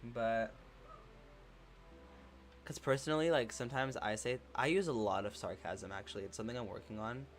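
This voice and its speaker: A man hosting a relaxed livestream, reading chat messages aloud and answering them supportively, with many fillers. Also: mid-range voice, moderate pace, pauses often. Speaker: livestream host.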